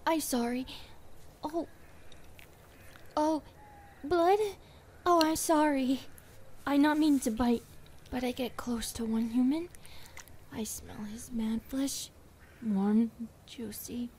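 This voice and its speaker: Woman playing a soft, slow, childlike skinwalker girlfriend. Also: high voice, fairly even intonation, a little hiss or hum. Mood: apologetic, eager. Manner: halting broken English, soft and often whispered. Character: shy, childlike, affectionate female skinwalker girlfriend with feral hunger. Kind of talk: ASMR roleplay.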